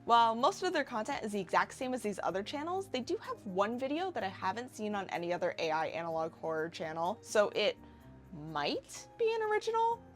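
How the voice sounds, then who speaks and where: Female, 20s, animated commentary narrator, wry and casual, average pitch, light music bed. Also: a little fast. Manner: wry, sarcastic asides. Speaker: video essayist. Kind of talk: casual scripted video essay commentary.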